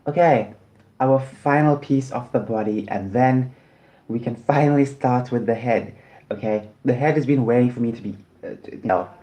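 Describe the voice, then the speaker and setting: Rambling livestreamer from South Africa with a dark voice. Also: male voice, mid-range voice, normal speed. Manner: chatty, rambling, apologetic. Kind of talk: casual livestream chat while eating.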